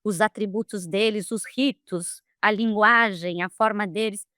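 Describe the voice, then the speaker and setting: A Brazilian woman of middle age, a guest speaking in a casual interview, lively and quick to laugh, often ending phrases with "né?". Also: mid-range voice, clean tone, no room sound, studio quality. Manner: lively, animated, quick to laugh, frequent "né?" tags. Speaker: guest, HR leader.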